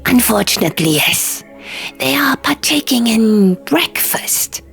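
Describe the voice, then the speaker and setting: A woman's voice: a little high, lively intonation, measured pace, creaky, a bit bright, pitched above the performer's own voice, exaggerated voice. A woman voicing a fussy male stone gargoyle in an audiobook, with stilted, quaint formality. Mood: regretful. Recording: music under the reading.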